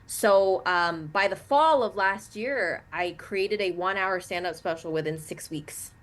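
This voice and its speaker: A young woman from Canada, a native Mandarin speaker, chatting as an interview guest in an animated way with many fillers. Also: medium pitch, a little slow. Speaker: guest, actress and comedian. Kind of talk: casual interview chat.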